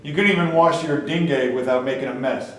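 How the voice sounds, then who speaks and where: A man's voice: on the high side, fairly quick, a bit bright, a little hiss or hum, lightly acted. A man reading a scornful caveman chief, roomy and distant. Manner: scornful, sarcastic. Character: mocking, defiant caveman clan leader. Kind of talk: staged play reading. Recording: echoey room, mic far off.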